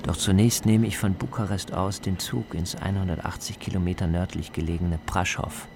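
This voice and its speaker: A man narrating a scripted radio travel feature as the reporter, in a measured, descriptive way. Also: sits low, fairly even intonation, gravelly, background noise.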